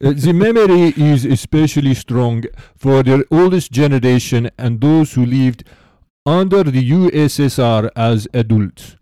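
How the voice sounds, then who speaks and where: A man voicing a formal Russian in a mock Russian accent, soberly and haltingly recounting wartime memory. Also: mid-range voice, animated, dark tone, clean tone, dry recording, close to an everyday voice, poor audio. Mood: solemn.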